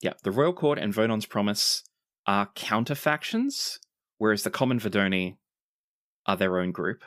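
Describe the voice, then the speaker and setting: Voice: mid-range voice, moderate pace, fairly bright tone, no room sound, clean studio sound. A game master explaining tabletop game rules and narrating scenes to players.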